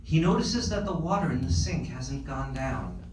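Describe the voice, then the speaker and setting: A man's voice: a little high, expressive, moderate pace. A man narrating stage directions from a script at a live comedy table read. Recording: echoey room, distant mic, noisy.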